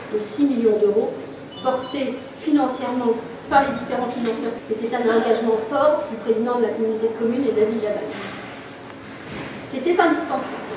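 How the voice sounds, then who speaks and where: A woman local official giving a formal inauguration speech in an emphatic way, repeating key words. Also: fairly high pitch. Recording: echoey pool hall, distant mic, noisy.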